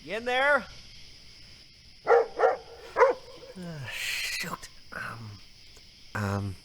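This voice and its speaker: A woman voicing a nervous teenage son in an audio drama, halting and anxious. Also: lowish voice, huge pitch swings, very noisy, heavily acted. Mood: hesitant.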